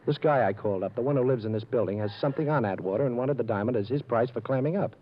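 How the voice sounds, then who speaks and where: Male actor as confident amateur sleuth, rapid clipped delivery, vintage radio drama, dull noisy sound. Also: mid-range voice, lightly acted. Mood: brisk, explaining. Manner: brisk, clipped, unflappable. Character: quick-witted male amateur detective, cool and confident. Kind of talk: 1940s radio detective acting. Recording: muffled, noisy old radio recording.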